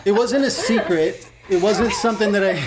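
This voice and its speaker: A man's voice: high-pitched, sing-song, moderate pace, music in the background. A man from Kentucky with an American accent, chatting casually and circling around his questions as an interviewer. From Kentucky, United States. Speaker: interviewer in a conversation with musicians. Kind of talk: casual chat.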